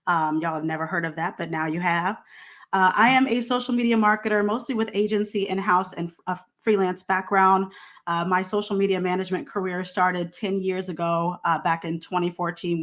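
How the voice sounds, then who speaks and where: Upbeat woman from Missouri, slightly low voice. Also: steady tone, moderate pace, a bit bright. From Missouri, USA. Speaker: panelist, social media marketer. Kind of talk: casual panel introduction.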